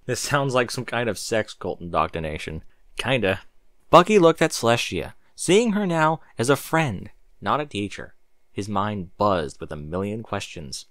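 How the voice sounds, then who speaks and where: Male narrator for fan fiction: animated pitch, forward bright tone, sardonic storyteller, dry close recording. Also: moderate pace, dry recording. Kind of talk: dramatic storybook narration with casual sarcastic asides.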